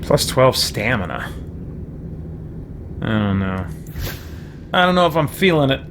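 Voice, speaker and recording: A man's voice: medium pitch, very animated, measured pace, noisy background. A man giving casual commentary on his game playthrough, musing and thinking aloud. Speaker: game streamer. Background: loud game music.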